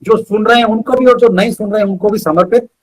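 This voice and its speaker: A man's voice: high voice, fairly even intonation, fast talker, a little mellow, breathy edge. A man reciting poetry as a guest poet, repeating lines for emphasis, with short asides to listeners.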